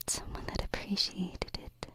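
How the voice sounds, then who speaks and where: Whispering woman in intimate ASMR roleplay. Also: low-quality recording. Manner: soft, slow, reassuring, pausing. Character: gentle, affectionate alien princess stargazing. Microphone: close binaural ASMR microphone.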